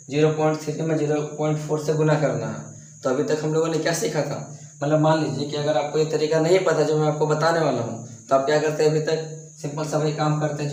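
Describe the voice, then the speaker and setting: A young man teaching math on a board, speaking softly with a sore throat, coughing and restarting his sentences. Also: fairly high pitch. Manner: repeats and restarts himself, coughs now and then. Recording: echoey room.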